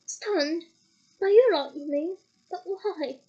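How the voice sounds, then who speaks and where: A woman voicing an excitable, flustered wizard official who fires off questions. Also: extremely high pitch, slow pace, highs cut off. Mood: excited.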